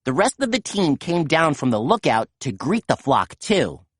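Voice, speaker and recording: A woman reading a children's picture book aloud in a gentle, expressive storytelling manner. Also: low-pitched, normal speed, husky, dry recording, studio quality. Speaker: storytime reader.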